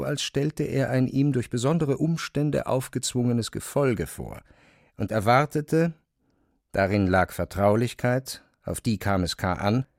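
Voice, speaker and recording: A man narrating a literary text as a scripted reading, measured and precise. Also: medium pitch, vocal fry, a little mellow, no echo, clean studio sound.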